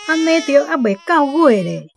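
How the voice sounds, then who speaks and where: An actress with a Taiwanese accent playing a puzzled, pitying village gossip in a radio drama. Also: female voice, high-pitched, sing-song, normal speed, gravelly, a little hiss or hum, full character voice.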